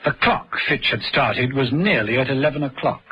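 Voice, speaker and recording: A man's voice: mid-range voice, normal speed, breathy edge, rough recording. A man narrating a vintage radio thriller in a dramatic, scripted style. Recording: old radio broadcast with loud music. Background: dramatic music.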